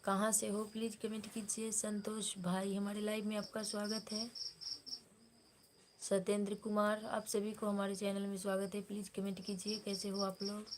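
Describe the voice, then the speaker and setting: A woman's voice: medium pitch, monotone, moderate pace, slight background noise. A woman from Bihar, hosting a casual live stream, greeting viewers by name and asking them to comment. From Bihar, India.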